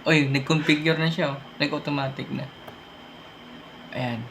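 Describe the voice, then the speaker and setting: A man's voice: fairly high pitch, fairly even intonation, unhurried. A Filipino man presenting an informal hands-on tech tutorial, thinking aloud as he goes step by step. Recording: noisy amateur room recording.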